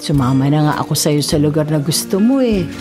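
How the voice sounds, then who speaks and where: A gentle, shy old grandmother in a radio drama. Her delivery is coy and mildly protesting, with lively rises and falls over clearly heard music. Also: low voice, brisk. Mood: coy, amused. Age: older. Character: bashful, gentle elderly grandmother in love. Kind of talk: Filipino radio drama acting.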